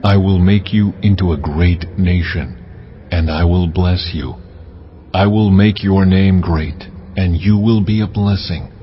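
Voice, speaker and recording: An actor playing God as a solemn, stately, commanding divine voice in a dramatized audio scripture. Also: male voice, deep voice, fairly even intonation, measured pace, dull audio, slight background noise. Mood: solemn promise.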